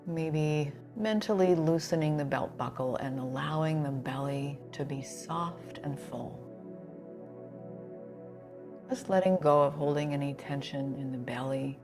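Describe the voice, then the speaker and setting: Woman, low voice, yoga nidra instructor, guided meditation, soothing unhurried phrasing, faint music behind. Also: a little mellow.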